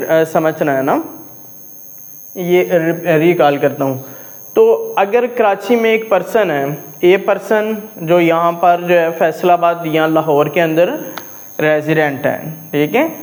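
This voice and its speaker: Slow, high-voiced male law lecturer. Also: poor audio. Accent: Pakistani. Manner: explains step by step, often checks in with "okay"; reads legal English phrases slowly and deliberately.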